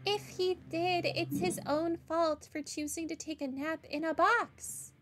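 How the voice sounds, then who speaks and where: A woman with a high voice hosts a video game playthrough. She reads the dialogue aloud, with clear music playing behind her. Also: fairly even intonation, measured pace, fairly bright tone.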